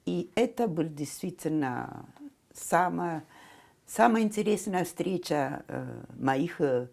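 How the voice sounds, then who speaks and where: A woman's voice: lowish voice, expressive, takes it slowly, vocal fry, darkish tone. A woman in her seventies with an Italian accent, who grew up speaking Italian, tells her memories as an interview guest, laughing often and acting out the voices of people she quotes. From Italy. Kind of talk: reminiscing conversation.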